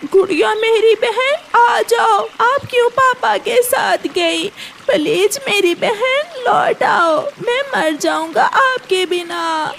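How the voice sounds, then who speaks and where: A woman's voice: extremely high pitch, takes it slowly, gravelly, background noise, voice pushed up, heavily acted. A woman voicing an innocent young boy bird in a cartoon, a devoted brother who pleads plaintively. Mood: desperate searching.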